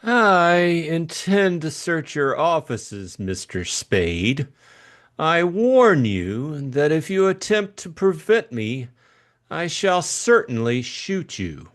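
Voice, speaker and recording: A man plays a polished little gunman from a noir audiobook, formal and over-courteous yet menacing. He speaks very slowly, with a nervous edge and swinging pitch. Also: very animated, fairly bright tone, full character voice. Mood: politely menacing.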